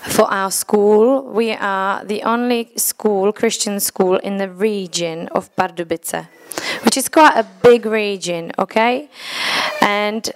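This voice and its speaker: A woman with a Czech accent, speaking English as a second language, addresses a church congregation. Her English is earnest and a little broken. She chuckles at her own mistakes and speaks at a middle pitch. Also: slow. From Czech Republic.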